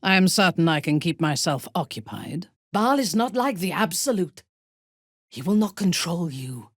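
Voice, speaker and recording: Husky, imperious dark elf noblewoman. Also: on the low side, animated, no echo, clean studio sound. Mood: cool, commanding. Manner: commanding, deliberate, formal and haughty. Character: imperious, ruthless dark elf noblewoman warrior, coldly devoted. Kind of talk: dramatic fantasy video game acting.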